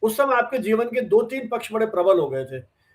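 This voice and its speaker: An older man hosting a reminiscing interview, putting his questions deliberately, clause by clause. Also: high voice, sing-song, a little hiss or hum. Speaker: interviewer, host. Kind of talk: warm reminiscing interview in conversational Hindi.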